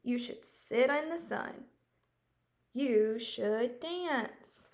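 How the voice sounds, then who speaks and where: Bossy picture-book animal friend, keen and coaxing, slow imperatives, raised pitch, female reader performing. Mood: eager urging. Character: chorus of eager, bossy animal friends in a storybook.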